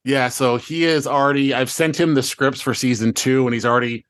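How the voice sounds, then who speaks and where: Male podcast host, mid-pitched, casual and animated, rambling storyteller, dry recording. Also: fairly quick, clear, resonant tone, no room sound. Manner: animated, rambling storytelling, acting out remembered remarks. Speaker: podcast host and showrunner of an audio drama. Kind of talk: casual chat looking back on a show's season.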